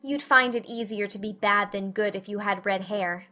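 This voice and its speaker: A woman's voice: medium pitch, moderate pace, bright, forceful tone, lightly acted. A woman reading an audiobook, voicing an eager, imaginative young girl who rambles earnestly. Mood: reproachful.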